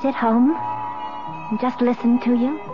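Easygoing, adventurous sweetheart, high lilting voice, casual and playful, muffled radio-play sound. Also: female voice, steady tone, slow, music in the background. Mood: relaxed. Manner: playful, brief casual replies. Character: Peggy, easygoing, adoring woman game for adventure. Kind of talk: 1970s radio drama acting. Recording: muffled vintage radio broadcast.

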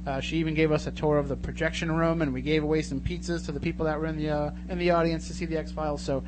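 A man's voice: fairly high pitch, little pitch movement, quick pace. An American man hosting a live talk radio show in a casual, chatty, informal way. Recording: noisy radio show audio with hum.

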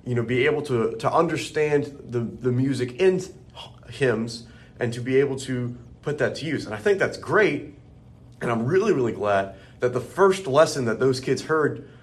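A man with a deep bass voice co-hosts a religious podcast. He talks in a friendly, casual way and often checks in with the listener. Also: lively intonation, normal speed. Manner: friendly, conversational, checks in with "right?". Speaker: podcast co-host.

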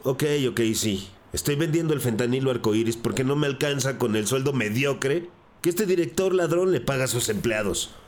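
An actor playing a nervous, evasive school bus driver and drug dealer, pleading and defensive, in a crime audio drama. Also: male voice, medium pitch, lively intonation, moderate pace, close to an everyday voice. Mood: panicked pleading.

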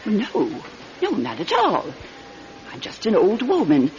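A woman's voice: medium pitch, wildly swooping pitch, slow, a little mellow, slight background noise. An actress playing a timid, genteel old lady in a radio drama, fussy, hesitant and insistent. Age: older. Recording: dull, lo-fi old radio recording.

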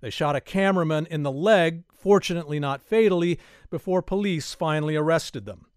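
A man hosting a public radio talk show from a studio, speaking in a measured, clearly enunciated way. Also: a little high, dry recording. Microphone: studio microphone.

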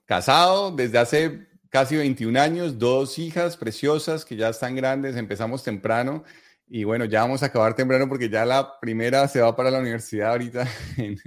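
A middle-aged man from Colombia with a Bogotá accent, answering interview questions conversationally and explaining things in long, measured sentences. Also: medium pitch. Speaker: interview guest, investing educator.

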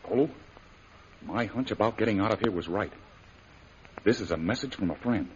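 An actor playing a steady, good-natured adventurer, plain-spoken and folksy, in 1930s radio serial style. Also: male voice, fairly low pitch, normal speed, a little hiss or hum, close to an everyday voice. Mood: hushed, urgent. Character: steady, good-natured adventurer hero, male, hopeful captive. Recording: muffled 1930s radio recording.

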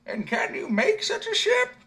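A man voicing a tiny, magical old man in a very high, slow, squeaky falsetto, reading a storybook aloud. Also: huge pitch swings, pushed and bright, full character voice. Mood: curious.

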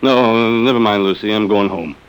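A man's voice: lowish voice, moderate pace, over music, close to an everyday voice, rough recording. An actor in an old radio drama playing a middle-aged businessman, blustery and folksy, given to muttering and exclaiming. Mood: weary grumbling.

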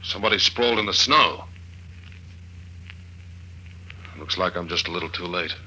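A man's voice: sits low, fast, noisy background, close to an everyday voice. A man playing a hard-boiled, no-nonsense investigator who narrates his own adventure in clipped sentences, in 1950s radio drama style. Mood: urgent. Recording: old radio recording with music.

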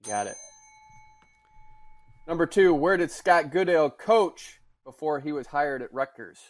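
A man quizzing a guest on a casual podcast, his voice on the high side. He reads each question plainly and confirms answers briskly. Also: animated. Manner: brisk, matter-of-fact quizmaster. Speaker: trivia segment host. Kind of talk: running a quiz.

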